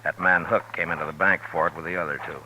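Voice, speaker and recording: An actor playing a steady, stern middle-aged frontier marshal in a 1950s radio drama, calm, firm and measured. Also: male voice, low-pitched, noisy background, close to an everyday voice. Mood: probing. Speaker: lead and narrator. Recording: dull old radio broadcast with music.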